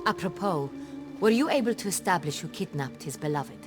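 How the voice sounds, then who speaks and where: A woman's voice: lowish voice, animated, a little fast, loud music behind the voice, close to an everyday voice. An actress playing an imperious, proud noblewoman ruler in a fantasy game, formal, commanding and dramatic. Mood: formal, resolute. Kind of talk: theatrical fantasy game acting.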